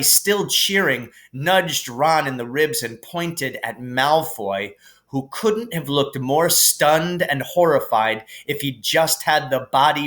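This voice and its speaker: A man reading a children's novel aloud as an expressive storybook narrator. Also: fairly high pitch.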